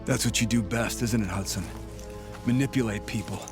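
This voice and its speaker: An actor playing a bitter, confrontational covert operative with a pointed delivery in a cinematic military game. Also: male voice, lowish voice, brisk, over music, lightly acted. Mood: bitter, accusing.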